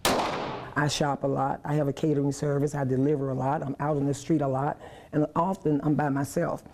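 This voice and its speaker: A woman's voice: low-pitched, level tone, moderate pace, very noisy. A woman in her sixties giving informal interview answers as a student in a class. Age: 60s.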